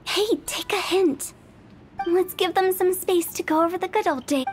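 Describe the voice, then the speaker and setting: Playful young funeral lady from a game, super high voice, theatrical, music behind. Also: moderate pace. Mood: nudging. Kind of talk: video game voice acting.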